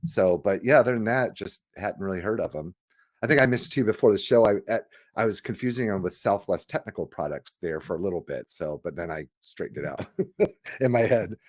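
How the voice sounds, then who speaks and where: A man co-hosting a casual chat about computer history, haltingly thinking out loud as he goes. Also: fairly low pitch. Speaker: podcast co-host.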